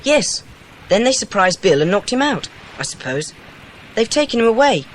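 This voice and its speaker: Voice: mid-range voice, very animated, normal speed, slight background noise, natural voice, poor audio. An actor playing an earnest, practical British boy in a dramatised children's adventure audiobook, with a well-spoken manner. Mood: serious, worried.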